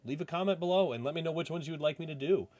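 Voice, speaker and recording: A man hosting a gaming channel, chatting casually as he plays and reacts out loud to a story game. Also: a little high, rapid-fire. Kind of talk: casual let's-play chat with narrated visual novel reading. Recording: music playing behind the voice. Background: music.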